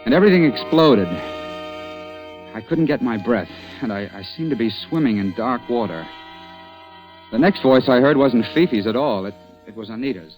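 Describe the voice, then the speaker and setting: A man's voice: medium pitch, expressive, normal speed, a little mellow, close to an everyday voice, poor audio. An actor playing a harried, stubborn man caught in a nightmare, in dramatic old-time radio suspense style. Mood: haunted, narrating. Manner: exasperated, dramatic. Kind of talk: 1940s radio suspense acting. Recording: vintage radio drama with music.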